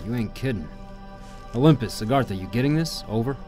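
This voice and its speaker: A man playing a steady, commanding special-ops army captain in an audio drama, talking in clipped military phrases. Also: medium pitch, fairly quick, soft background music, lightly acted. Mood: alert. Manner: clipped military radio talk.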